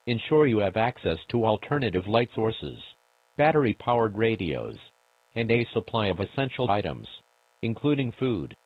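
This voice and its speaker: Low-voiced man reading a muffled emergency alert. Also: no echo. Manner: formal, stern, official delivery. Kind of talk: scripted emergency broadcast announcement. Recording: muffled, band-limited emergency broadcast audio.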